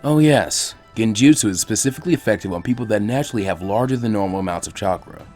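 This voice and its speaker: A man voicing a calm, encouraging adult male ninja teacher who explains things patiently in a story reading. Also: on the low side, fast, natural voice. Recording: faint music behind the voice.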